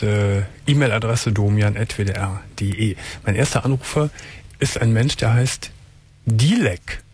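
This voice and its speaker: A man hosting a late-night radio call-in show, greeting listeners and gently asking a caller about her life. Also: lowish voice, husky. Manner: calm, attentive, probing questions. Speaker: radio talk show host. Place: radio studio.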